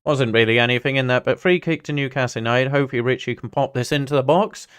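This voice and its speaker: A man with a smooth, clean voice at an average pitch hosts a football livestream. He is from Singapore and talks casually to viewers as a fan. His delivery rambles, hopping between chat and commentary on the play. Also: fast, a bit bright. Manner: rambling, chatty, jumps between anecdote and play-by-play. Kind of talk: casual match watch-along commentary, chatting with viewers.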